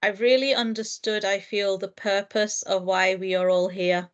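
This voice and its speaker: A woman teacher and lecturer answering interview questions in a thoughtful, earnest way, with frequent fillers and soft laughs. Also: mid-range voice, flat delivery, moderate pace, highs cut off. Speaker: guest, primary teacher and lecturer. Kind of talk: reflective interview conversation.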